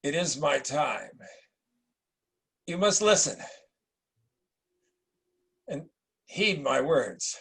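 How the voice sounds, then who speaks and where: A dying, elderly Roman emperor, acted by a man at a table read, solemn and fevered. His voice is husky and creaky, and he declaims in halting, weighty phrases. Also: a little high, lively intonation, fairly bright tone, exaggerated voice. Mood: weak, solemn. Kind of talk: dramatic table-read acting.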